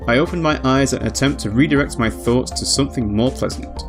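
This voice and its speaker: A man narrating a visual novel aloud as a let's player, in an expressive storytelling style. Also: mid-range voice, quick pace. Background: background music.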